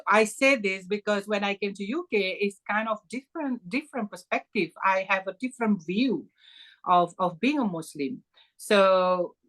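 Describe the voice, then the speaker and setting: A middle-aged woman from Malaysia with a Malaysian accent, telling her own story as a podcast guest, halting with many fillers and restarts. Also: lowish voice, a bit bright. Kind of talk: personal story in an interview.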